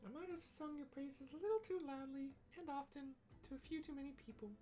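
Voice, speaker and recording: A man's voice: very high voice, fairly quick, warm tone, soft background music, voice pushed up. A man voicing a small, cheerful young woman helper in a video game, chatty and eager, read aloud from on-screen dialogue. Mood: chirpy.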